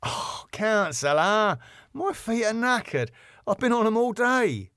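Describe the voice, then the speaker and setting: A man voicing a cheeky man feigning innocence, bright and chirpy in manner, in a drama reading. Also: high-pitched, lively intonation, normal speed, dry recording, clean studio sound, pitched above the performer's own voice. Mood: protesting.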